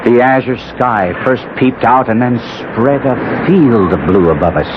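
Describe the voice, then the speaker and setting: A man's voice: medium pitch, measured pace, close to an everyday voice. An actor playing an anguished, ruined young nobleman, speaking with earnest melodrama and formal phrasing in a radio drama. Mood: brooding. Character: anguished young nobleman, ruined, proud wastrel recounting his fall. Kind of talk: 1970s radio drama acting and narration. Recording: muffled, noisy old radio broadcast recording.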